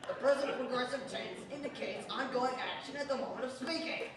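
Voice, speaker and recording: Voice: high voice, a little fast, a crowd in the background, natural voice. Young performer playing a pedantic outlaw, echoey and distant. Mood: frantic lecturing. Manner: pedantic, lecturing, precise diction. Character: pedantic, exasperated captive outlaw, a stickler for grammar. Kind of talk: stage show of old-time Western radio drama. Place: theater stage with distant microphone. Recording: echoey stage from far off, faint noise.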